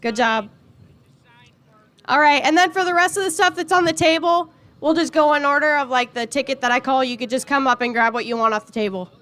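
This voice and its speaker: A woman with a high voice announcing a raffle to a gathered crowd, playful and teasing as she reads out ticket numbers slowly. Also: fairly bright tone. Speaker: raffle announcer at a race event.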